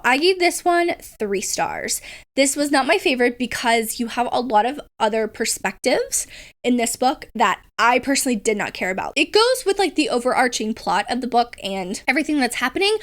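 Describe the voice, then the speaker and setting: A young woman with a cold, chatting casually about books she has read, rambling with lots of fillers and restarts. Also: a little high. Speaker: book vlogger. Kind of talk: casual book review chat.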